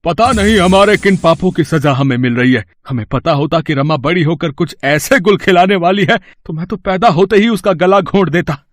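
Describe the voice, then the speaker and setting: Casting: man as indignant village father in a story drama, high voice, close dry sound, lamenting and bitter. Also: moderate pace, no echo, close to an everyday voice. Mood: bitter, angry. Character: angry, shamed old village father in debt.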